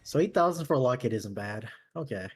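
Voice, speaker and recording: A man's voice: medium pitch, expressive, brisk, breathy edge. A man chatting casually and jokily as a player making a tabletop game character. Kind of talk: casual chat.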